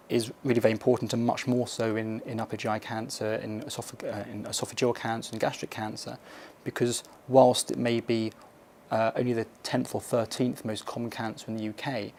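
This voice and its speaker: A man with a medium-pitched voice and little melodic movement answers as a hospital consultant. He phrases things formally and haltingly, as in an educational film interview, over a faint layer of noise. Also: a little mellow.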